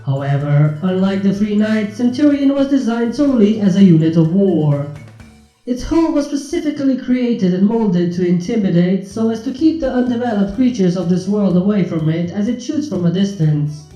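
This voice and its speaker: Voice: medium pitch, dark tone, reverberant, muffled recording, natural voice. A performer voicing a cold, condescending ship artificial intelligence in a fan fiction reading, speaking in formal, clinical, precise terms.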